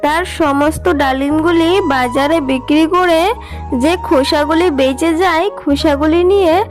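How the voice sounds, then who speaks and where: A woman narrator with a high voice reads a scripted children's cartoon story. Music is clearly audible beneath the narration. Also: fairly even intonation, moderate pace.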